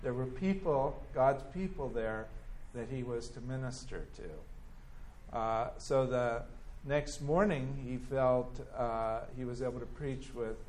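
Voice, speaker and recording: A man's voice: medium pitch, slow, few pauses, darkish tone. A man leads a church class, reading from a biography and stopping to comment. His mid-range voice jumps up when he acts out quoted lines, and he talks with plenty of restarts and fillers. A faint hiss sits behind the recording. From California. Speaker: Bible teacher.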